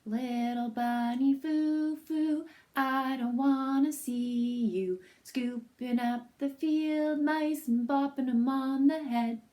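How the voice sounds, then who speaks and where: A woman voicing a stern good fairy in a storybook reading, with slow, deliberate warnings. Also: sits high, dark tone.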